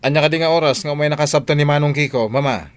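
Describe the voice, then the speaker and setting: A man playing a courteous grown son and household boss in a radio drama, measured and authoritative. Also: on the high side, clean tone, dry recording, close to an everyday voice. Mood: polite, businesslike.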